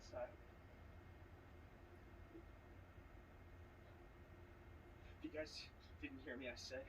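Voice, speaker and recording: A man's voice: medium pitch, natural voice. A man playing an anxious, paranoid character in a dramatic cut-scene, urgent and repeating himself as if desperate. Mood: scared. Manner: urgent, repetitive, pleading. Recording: noisy, distant from the mic.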